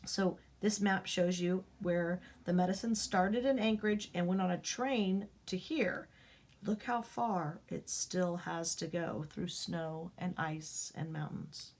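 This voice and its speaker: A woman reading a children's picture book aloud, expressive, rising up and dropping to a hush for the dramatic parts. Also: lowish voice, slow pace. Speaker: storybook reader.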